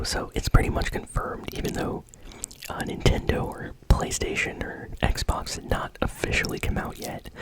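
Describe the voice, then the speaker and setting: An ASMR host speaks entirely in a breathy whisper. The delivery is casual and chatty, like someone sharing gaming news with friends in a hushed, unvoiced voice. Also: low-quality recording.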